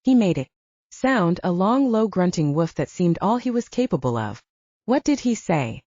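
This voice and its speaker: A woman's voice: on the low side, big pitch swings, normal speed, mellow, no room sound, highs cut off. A woman narrating a dramatic fiction audiobook, reading from a script.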